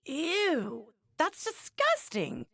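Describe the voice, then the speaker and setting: A woman's voice: very high voice, wildly swooping pitch, very slow, a bit bright, no room sound, exaggerated voice. An actress voicing a feisty young woman in an anime game, speaking bluntly and expressively with a scornful edge. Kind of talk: scripted game voice lines.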